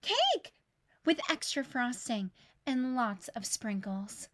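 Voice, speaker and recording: A woman's voice: fairly high pitch, big pitch swings, takes it slowly, fairly bright tone, slight background noise, full character voice. A woman voicing eager, sweet young schoolchildren in a storybook, with an innocent manner. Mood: excited.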